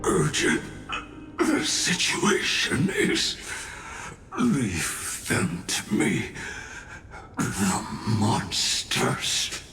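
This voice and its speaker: A man playing a dying master craftsman warrior in a video game, solemn and resolute, speaking haltingly in inverted word order. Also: medium pitch, huge pitch swings, heavily acted. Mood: solemn, urgent. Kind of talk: dramatic video game voice acting. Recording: reverberant voice over background music.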